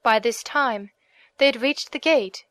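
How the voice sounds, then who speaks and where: A woman narrates an audiobook tale in a scripted storytelling style. Her voice sits fairly high, and the recording is dry with no audible room. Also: unhurried, fairly bright tone, dry recording.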